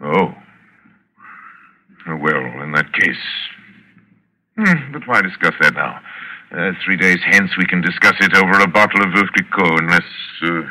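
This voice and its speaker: Radio drama villain: cultured general, theatrical, mock-courteous, very animated, penetrating tone. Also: male voice, sits low, unhurried, long pauses, full character voice, rough recording. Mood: relishing, taunting. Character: cultured, sinister aristocratic general who hunts men. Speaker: radio actor. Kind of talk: 1940s radio acting. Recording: old radio drama recording.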